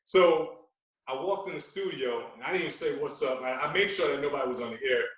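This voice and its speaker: A man's voice: medium pitch, quick pace, a bit bright. Echoey, distant-sounding New man telling stories. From Queens, New York. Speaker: podcast guest, radio personality. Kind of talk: animated casual storytelling. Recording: very echoey room, distant from mic.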